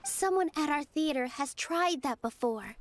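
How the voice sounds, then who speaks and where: A woman's voice: sits high, expressive, measured pace. A woman voicing an earnest young female dancer in a video game, speaking articulately and firmly. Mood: earnest argument.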